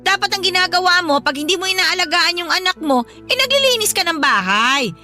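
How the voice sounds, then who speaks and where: Shrill, nagging Filipino mother-in-law. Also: animated, fairly quick, sharp tone, faint music behind, exaggerated voice. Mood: scolding. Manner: scolding, sarcastic, accusing. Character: nagging, scornful mother-in-law, middle-aged woman. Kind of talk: Tagalog radio drama acting.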